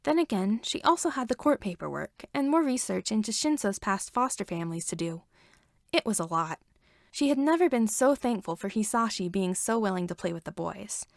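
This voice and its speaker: A woman's voice: medium pitch, animated, brisk, vocal fry, no room sound, clean studio sound. A woman reading a story aloud as scripted narration. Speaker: fan fiction reader.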